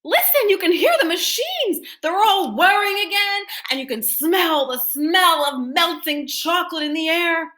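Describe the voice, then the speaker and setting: A woman puts on excitable storybook townsfolk in a high, animated voice. They gossip and exclaim, wondering aloud with swooping intonation. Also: unhurried, hardly any pauses, heavily acted. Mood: excited.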